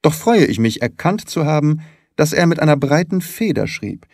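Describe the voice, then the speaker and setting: Guy doing a sharp-witted detective for an audiobook, probing, precise, sure of himself, very lively intonation. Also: on the high side, moderate pace, no room sound, clean studio sound, pitched above the performer's own voice. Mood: analytical, self-assured. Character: shrewd, self-assured male detective, probing and courteous. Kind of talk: audiobook character dialogue.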